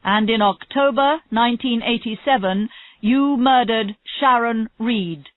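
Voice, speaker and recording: A woman reading a storybook, playing a stern court official who formally declaims charges in measured phrases. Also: on the high side, voice pushed up, natural voice. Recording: roomy, slightly dull lo-fi audio.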